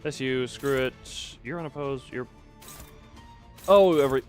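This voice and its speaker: A man's voice: medium pitch, a little slow. A man doing casual livestream game commentary, thinking aloud in a rambling way and often trailing off mid-sentence. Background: game music.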